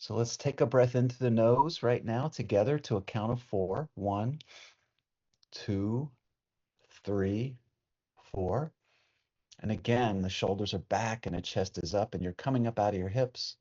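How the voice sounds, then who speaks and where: Low-voiced man guiding meditation, muffled sound. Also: mellow. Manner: soothing, unhurried, with long pauses. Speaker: meditation teacher. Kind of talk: guided meditation.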